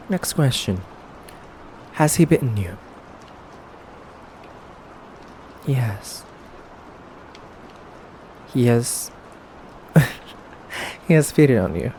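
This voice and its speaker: A man playing an effeminate vampire interrogator in an ASMR roleplay, speaking softly and deliberately with teasing, condescending menace and breathy pauses. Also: mid-range voice, slight background noise. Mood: coolly commanding. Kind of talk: ASMR roleplay, soft intimate acting.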